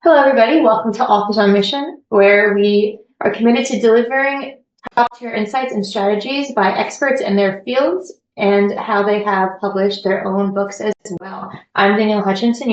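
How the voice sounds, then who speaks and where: A woman's voice: medium pitch, roomy sound, lo-fi sound. A woman hosting a podcast, giving polished intros and asking questions in an upbeat way. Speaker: podcast host.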